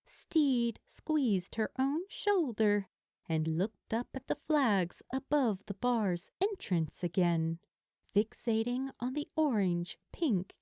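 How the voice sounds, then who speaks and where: Female story narrator, unhurried and animated, mid-pitched, dry recording. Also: dry recording. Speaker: fan fiction narrator.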